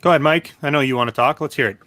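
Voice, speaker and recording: Man from canada, co-host, laid-back joker, bright sharp tone, dry sound. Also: medium pitch, rapid-fire, dry recording. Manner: relaxed, joking, laughs often. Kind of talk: casual livestream chat.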